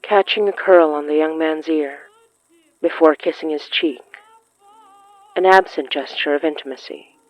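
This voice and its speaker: A narrator reading scripted horror fiction in a deliberate, literary way with dramatic pauses. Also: medium pitch, dry recording, dull audio.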